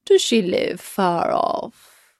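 A woman voicing a sly, hungry male wolf in a storybook, coaxing and predatory, with drawn-out theatrical phrasing. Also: medium pitch, very animated, dry recording, clean studio sound, full character voice. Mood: sly, scheming.

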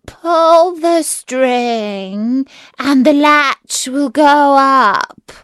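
A woman's voice: high-pitched, extremely slow, fairly bright tone, dry recording, pitched above the performer's own voice, heavily acted. A woman voicing a storybook wolf that fakes a sweet, innocent voice, exaggerated and coaxing. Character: wolf faking a sweet little girl's voice.

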